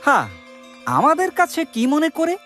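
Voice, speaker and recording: A woman's voice: high voice, big pitch swings, moderate pace, a bit bright, soft background music. A woman playing a clever, confident male court jester in a cartoon, crafty and commanding. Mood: brisk. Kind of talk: cartoon comedy dialogue.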